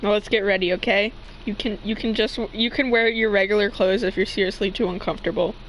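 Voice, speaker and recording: A woman's voice: medium pitch, steady tone, quick pace, slight background noise, close to an everyday voice, poor audio. A woman voicing a caring, reassuring male gang leader in an amateur fanfiction reading. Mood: soothing. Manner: gentle, reassuring. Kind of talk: amateur fanfiction read-aloud.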